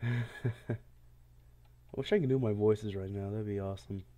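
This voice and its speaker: A man casually commentating a game, congested, laughing often and yelling when he fails. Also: lowish voice, normal speed, dull audio. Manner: laughs often, yells at failures. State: congested, stuffy-sounding. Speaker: gameplay commentator. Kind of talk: casual let's-play commentary.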